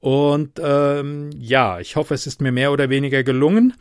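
A man from the Mannheim area with a softened Palatine German accent, hosting a casual podcast and reading a literary anecdote aloud. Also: mid-range voice, clear, resonant tone, dry recording, studio quality. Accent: Palatine German, softened by speech training. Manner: chatty, unhurried, reads with dramatic emphasis. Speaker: podcast host and reader.

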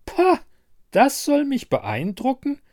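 A man's voice: very high-pitched, huge pitch swings, slow, gravelly, darkish tone, voice pushed up, heavily acted. A man voicing a haughty, scornful winter queen in a fairy tale, contemptuous and scolding.

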